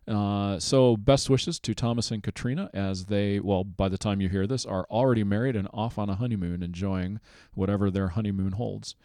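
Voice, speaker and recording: A man with a fairly low voice hosts a podcast, keeping it casual and joking with his guests. The sound is dry and close. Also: steady tone, normal speed, dark tone, clear, resonant tone, no echo. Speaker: podcast host. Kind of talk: podcast chat.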